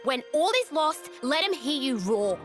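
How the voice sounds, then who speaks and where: An actress playing a brash, cocky young rebel leader in a video game, with blunt, slangy, bossy talk. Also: female voice, high voice, lively intonation, normal speed, over loud music. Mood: cocky, encouraging. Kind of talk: video game cutscene acting.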